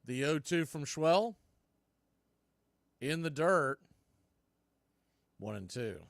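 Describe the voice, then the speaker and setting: A man with a high voice calls a baseball game as a play-by-play announcer. His delivery is unhurried and folksy, in clipped phrases, with a dry, close sound. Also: animated, gravelly, dry recording. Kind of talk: live play-by-play commentary.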